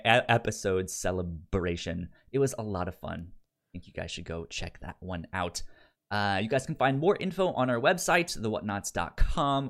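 A man with a fairly low voice hosts a casual podcast. He stutters, repeating sounds and syllables as words get stuck. The delivery is conversational and halting. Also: fairly bright tone. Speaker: podcast host.

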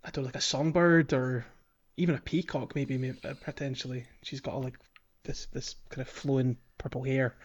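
Male podcast co-host, mid-pitched, loose and meandering, amused, chatty delivery, slightly dull lo-fi sound. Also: flat delivery, normal speed. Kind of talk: casual chat about a video game.

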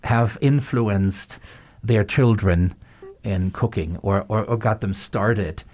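A man's voice: fairly low pitch, slow, darkish tone. Thoughtful male podcast host. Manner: thoughtful, searching for words. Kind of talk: podcast interview.

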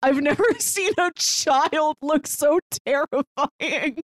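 A woman's voice: high-pitched, slow, dry recording. A woman co-hosting a podcast, talking in a chatty, enthusiastic way in a casual review conversation. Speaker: podcast co-host.

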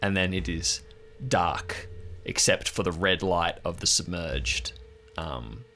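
A man narrating an improvised audio drama scene as game master, halting and deliberate, pausing mid-sentence. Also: sits low, bright, forceful tone, slight background noise.

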